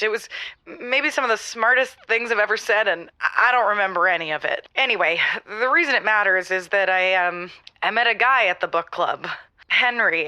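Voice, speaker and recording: An actress as a nervous woman phoning an advice show to confess. She stammers and rambles with a touch of self-regard, in a bright, medium-pitched voice recorded close and dry. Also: no room sound, natural voice.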